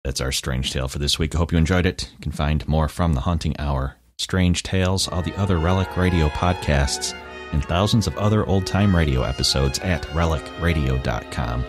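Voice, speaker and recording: A man's voice: extremely low pitch, normal speed. A man hosting a podcast, reading a scripted outro in plain speech. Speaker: podcast host. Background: music.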